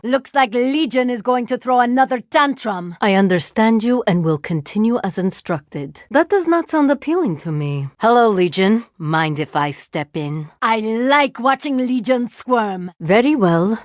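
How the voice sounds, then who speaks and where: A detached reanimated agent. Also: female voice, a little high, a little slow, hardly any pauses, no room sound, studio quality. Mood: combat cool. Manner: precise, formal, detached deadpan. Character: calm clinical reanimated woman agent with drones. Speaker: video game character. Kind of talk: game voice lines. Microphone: close studio mic.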